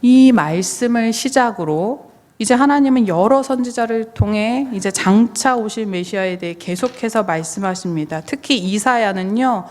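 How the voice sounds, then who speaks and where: A woman's voice: mid-range voice, moderate pace, darkish tone. A woman pastor preaching a sermon, explaining and addressing listeners directly with questions, her voice somewhat strained from recovering. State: voice strained, limited to low register while recovering. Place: church sanctuary. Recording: long reverberant hall sound.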